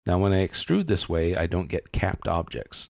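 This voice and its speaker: A man narrating a software tutorial in a casual, methodical way, explaining each step as he goes. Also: low voice, big pitch swings, normal speed, vocal fry, no echo, studio quality. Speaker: software instructor.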